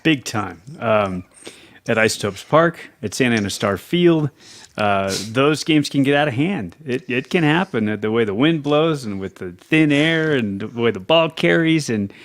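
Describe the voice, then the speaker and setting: A man with a medium-pitched voice speaks as a guest sportscaster in casual conversation. He is earnest and reflective, measuring out his phrases and sometimes repeating them. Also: expressive, moderate pace. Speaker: guest, baseball play-by-play broadcaster.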